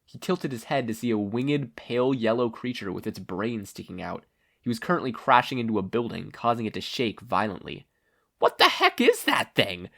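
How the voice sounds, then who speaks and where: A person narrating a story as an audiobook-style reading, with expressive delivery. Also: mid-range voice, brisk, gravelly. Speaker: fan fiction podfic reader.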